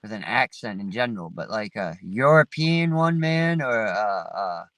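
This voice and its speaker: A man's voice: fairly low pitch, animated, a little slow, a bit bright, dry recording. A man hosting a livestream panel, chatting casually in a rambling, profane, laid-back way. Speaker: livestream host. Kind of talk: casual chat. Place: sitting in his parked vehicle. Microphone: phone.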